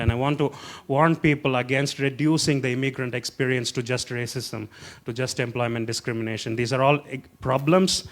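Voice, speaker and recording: Passionate man making a political case. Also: mid-range voice. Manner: passionate, emphatic, rhetorical. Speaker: city council candidate. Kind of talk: political panel speech.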